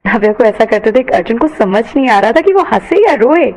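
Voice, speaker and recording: Female narrator for a serialized audio story, fairly high voice, scripted storytelling delivery. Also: lively intonation, brisk, darkish tone.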